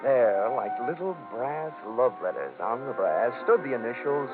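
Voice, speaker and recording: A man's voice: medium pitch, big pitch swings, moderate pace, natural voice. Man acting as hard-boiled American detective, tinny old radio. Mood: wry, sardonic. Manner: wry, clipped wisecracks. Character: wisecracking, hard-boiled male insurance investigator, cool and dogged. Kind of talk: 1950s detective radio drama acting, first-person narration. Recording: tinny 1950s radio recording, faint music.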